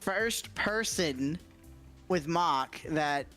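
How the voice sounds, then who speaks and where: A woman's voice: sits low, animated, extremely slow, a bit bright. A woman chatting casually as a guest on a livestream, easygoing and giggly, with music behind her. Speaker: co-streamer, guest voice actor.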